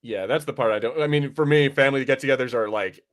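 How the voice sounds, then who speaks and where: A man's voice: medium pitch, quick pace. A man hosting a podcast, chatting casually and bluntly. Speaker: podcast host. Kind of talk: casual chat.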